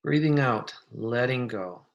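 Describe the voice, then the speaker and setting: Meditation guide, medium pitch: soft-mannered, soothing, unhurried, leaving long pauses between gentle instructions. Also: animated, creaky, muffled recording.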